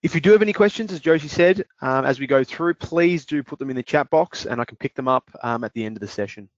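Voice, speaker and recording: Male presenter, measured explainer, dry and dull lo-fi web audio. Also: mid-range voice, lively intonation, no room sound. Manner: measured, explanatory, some fillers. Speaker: webinar presenter, business development manager. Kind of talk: product demo webinar.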